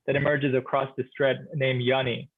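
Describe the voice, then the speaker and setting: A man speaking as a literature professor in a relaxed expert discussion, thoughtful and hesitant, with many restarts and filler sounds. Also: medium pitch, moderate pace, slightly rough, phone-quality sound.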